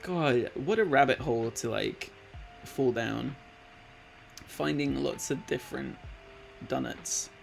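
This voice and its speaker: A man's voice: mid-range voice, takes it slowly, gravelly, pauses often, faint music behind. A man in his late twenties chatting casually on a live stream, rambling with lots of fillers and easily delighted. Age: late 20s. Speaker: art streamer.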